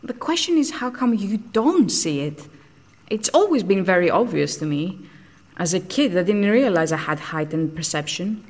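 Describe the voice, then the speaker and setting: A woman's voice: mid-range voice, lively intonation, normal speed, a little mellow, lightly acted. An actress playing a frank, socially outcast woman scientist in an audio drama, speaking in a blunt, matter-of-fact way. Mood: blunt, certain.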